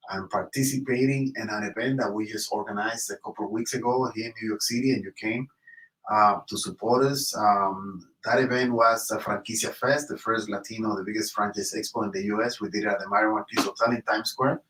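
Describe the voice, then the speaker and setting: A man hosting an in-person interview, effusive and full of restarts, in a high-rise building. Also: steady tone, fairly quick, echoey room, distant mic, low-quality recording. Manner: warm, effusive, many restarts. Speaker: interview host. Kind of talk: casual interview.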